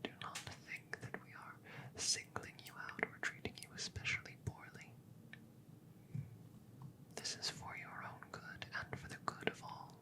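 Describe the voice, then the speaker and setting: A woman playing a calm, curious alien scientist in a soft ASMR roleplay, whispering and murmuring close to the mic in a measured, formal way. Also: natural voice, low-quality recording. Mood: hushed, reassuring. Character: calm, clinical, curious female alien scientist examining a visitor. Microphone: close microphone.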